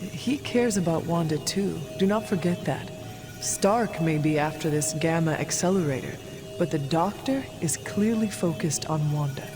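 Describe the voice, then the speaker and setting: A man's voice: fairly high pitch, lively intonation, moderate pace. A man playing a solemn, resolute young hunter in a video game cutscene, with formal, stately phrasing. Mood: earnest. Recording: loud game music behind.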